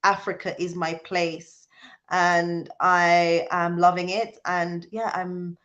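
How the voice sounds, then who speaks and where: A woman's voice: fairly low pitch, flat delivery, slow, a bit bright, muffled recording. A British woman hosting a casual livestream, talking to viewers in an earnest, emphatic way. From born in Jamaica, raised in the UK. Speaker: livestream host. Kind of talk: casual live chat with viewers, reading out comments.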